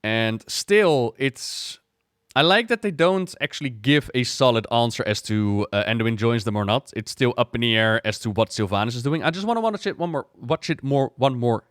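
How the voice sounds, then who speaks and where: A man's voice: medium pitch, lively intonation, moderate pace, a bit bright, dry recording. A man giving casual, enthusiastic reaction commentary on video game story scenes, speaking effusively.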